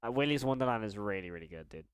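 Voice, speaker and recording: A young man with a slight stutter, chatting excitedly on a live stream and often restarting his sentences. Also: on the low side, racing, clear, resonant tone, no echo, studio quality. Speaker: horror YouTuber streaming live. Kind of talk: casual live-stream chat.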